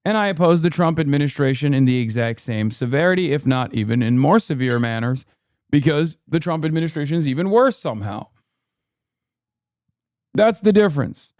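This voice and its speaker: A man's voice: on the high side, animated, darkish tone, no room sound, studio quality. A man, a streamer giving combative, sarcastic political commentary while reacting to a clip. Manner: combative, sarcastic, rapid rebuttals.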